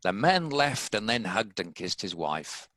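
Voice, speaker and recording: A man's voice: lowish voice, sing-song, measured pace, sharp tone, no echo. A man reading scripted story narration as the narrator of a table read.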